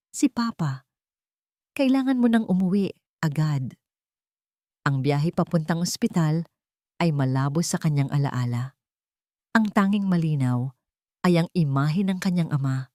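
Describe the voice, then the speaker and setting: A woman narrating a scripted romance story in a measured, dramatic way, phrase by phrase. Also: sits low, warm tone, no room sound, studio quality.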